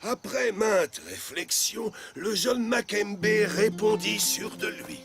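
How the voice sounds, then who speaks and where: A man voicing a kindly, patient village storyteller in a French children's cartoon, with an expressive, measured delivery. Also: high-pitched, vocal fry, heavily acted. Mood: storytelling. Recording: music behind the voice.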